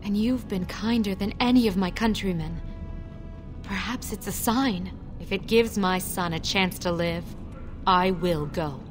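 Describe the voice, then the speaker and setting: A woman's voice: medium pitch, a little slow, lightly acted. An actress playing a young outcast dwarf mother who talks in an earnest, confiding way, with game music behind her.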